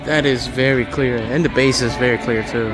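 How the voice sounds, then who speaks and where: A man's voice: mid-range voice, fairly even intonation, moderate pace. A man narrating a casual walkthrough of a large store, thinking aloud in a rambling, observational way. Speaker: vlogger. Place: inside a large home improvement store. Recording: music playing in the background. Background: store music from overhead speakers.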